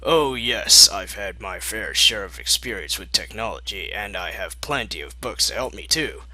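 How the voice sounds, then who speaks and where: A man's voice: fairly low pitch, animated, normal speed, pushed and bright, no echo, pitched below the performer's own voice, full character voice, low-quality recording. A man voicing a young female pony scholar, eager and flustered, with a hesitant, apologetic manner in a fan fiction reading.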